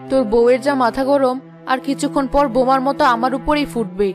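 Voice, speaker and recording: Woman playing a high-pitched village auntie. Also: quick pace, lightly acted. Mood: offended. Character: indignant older village neighbour auntie. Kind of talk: Bengali cartoon drama acting. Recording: music clearly behind the voice.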